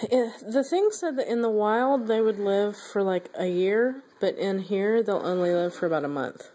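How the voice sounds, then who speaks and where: Brief: middle-aged mom, patient explainer, easy laughs, home phone recording. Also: female voice, mid-range voice, lively intonation, normal speed, warm tone, rough recording. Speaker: mother and vlogger. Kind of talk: casual family chat. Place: home room with an ant farm. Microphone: phone camera.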